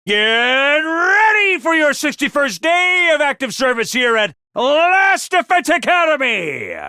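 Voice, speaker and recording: Theatrical, wildly swooping mascot commander. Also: male voice, very high voice, sharp tone, no room sound, clean studio sound, exaggerated voice. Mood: bombastic, rousing. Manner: theatrical, emphatic, swinging from grave to bombastic. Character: excitable, theatrical mascot commander of a military school. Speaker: commander addressing students. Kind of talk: animated video game voice acting.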